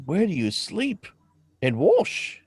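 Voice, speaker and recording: A man's voice: high-pitched, huge pitch swings, slow, darkish tone, exaggerated voice. A man playing a curious, naive young man in a radio drama reading, hesitant and full of questions. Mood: amazed. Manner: wide-eyed, hesitant, questioning.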